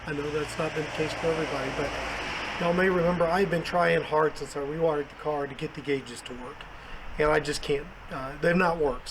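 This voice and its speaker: A hoarse man, hosting a casual unboxing vlog with plenty of fillers. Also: a little high. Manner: chatty, self-deprecating, many fillers. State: hoarse, losing his voice. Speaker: car channel host. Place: outdoors then indoors at home. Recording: echoey room with background noise.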